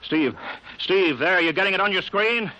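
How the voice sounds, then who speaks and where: A man's voice: sits high, expressive, moderate pace, crowd noise. A man playing an anxious air base project chief in 1950s radio drama, urgent and pleading. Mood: alarmed. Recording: muffled, hissy old radio broadcast.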